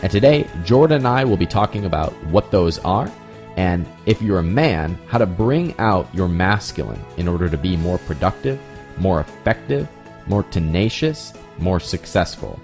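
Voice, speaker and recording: A man with a fairly low voice hosts a podcast in an upbeat, motivational tone. He laughs easily while he moves from a rehearsed intro into casual interview questions. Faint music plays underneath. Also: very animated, moderate pace, a little mellow. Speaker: podcast host.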